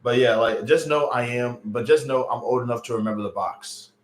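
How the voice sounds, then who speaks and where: A man's voice: medium pitch, fairly quick. A man in his late thirties hosting a casual livestream, chatting loosely with viewers and joking about their comments. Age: late 30s. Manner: loose, chatty, joking with chat, lots of fillers. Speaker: livestream host. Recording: roomy echo on a home stream mic.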